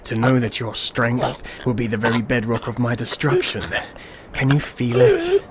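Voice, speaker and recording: An actor playing a calm, smug, world-ending destroyer in radio drama style, unhurried, menacing and condescending. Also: male voice, mid-range voice, heavy background noise, natural voice. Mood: gloating. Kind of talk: old-school radio drama acting.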